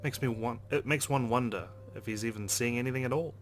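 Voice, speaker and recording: A man's voice: medium pitch, fairly quick. A young man hosting a let's play, reading game narration aloud with casual reactions.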